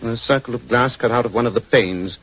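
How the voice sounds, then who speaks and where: A man playing a keen, composed gentleman detective with a British accent, speaking precisely and formally in 1940s radio style. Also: medium pitch, fairly quick, lightly acted. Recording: dull, muffled 1940s radio recording.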